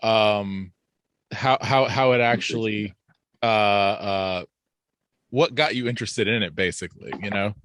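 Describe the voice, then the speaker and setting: A man's voice: on the low side, unhurried, fairly bright tone, dry recording. A man with an easygoing, rambling way of talking, chatting casually as he hosts an interview over a video call. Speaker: podcast host.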